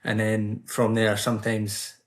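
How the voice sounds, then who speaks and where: Flat-voiced man, measured. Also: fairly low pitch. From United Kingdom. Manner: measured, explanatory answers. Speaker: podcast guest, Swiss football commentator. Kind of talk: casual podcast interview.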